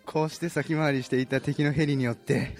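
A man's voice: mid-range voice, fairly even intonation, brisk, a little mellow, faint music behind. A man reading narration for an amateur radio drama.

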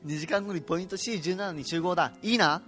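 A man playing a gruff, decisive squad fighter barking orders in an amateur radio drama. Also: sits high, quick pace, a bit bright, soft background music. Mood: urgent, commanding.

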